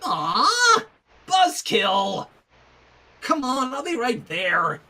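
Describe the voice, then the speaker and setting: A man voicing a brash, excitable young female cartoon pony, blurting and breezy, in a fan fiction reading. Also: very high-pitched, very slow, pitched above the performer's own voice, full character voice. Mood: whiny.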